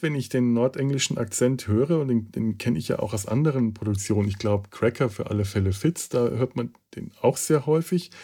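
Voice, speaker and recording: A German man talks through his opinions in a casual podcast review. He stumbles, restarts words and fills pauses with hesitations. His voice sits at an ordinary middle pitch for a man. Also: warm tone. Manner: halting, many restarts and fillers. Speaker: podcast host.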